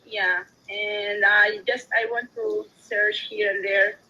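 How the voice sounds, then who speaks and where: Sudanese woman, arabic first language, halting and unsure, bright voice, faint hiss behind. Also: medium pitch, level tone, dull audio. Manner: hesitant, halting, many fillers. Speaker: caller, new coding student. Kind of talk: casual live audio room chat.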